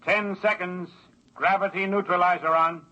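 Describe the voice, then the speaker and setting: An actor in a 1950s radio drama playing an impersonal male traffic controller calling clipped, measured launch commands. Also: high-pitched, steady tone, pushed and bright. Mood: urgent, official. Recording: muffled old radio recording.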